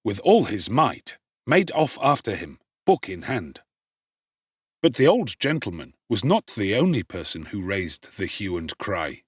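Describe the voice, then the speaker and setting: A man reads literary narration with a slightly husky, textured voice. His pitch moves widely and expressively, and his phrasing is theatrical and emphatic. The sound is dry and close. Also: very animated, measured pace, no echo. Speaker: audiobook narrator.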